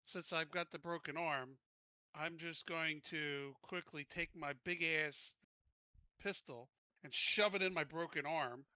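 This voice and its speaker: A man's voice: a little high, unhurried, sharp tone, clean tone, no echo, lo-fi sound. A man playing a tabletop game, chatting casually in a blunt, exasperated, grumbling way.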